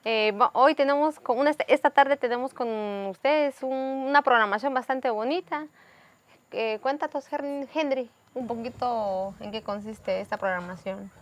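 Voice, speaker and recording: A woman's voice: on the high side, moderate pace. A woman presenting a community TV program, welcoming and slightly stumbling. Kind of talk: live community TV hosting.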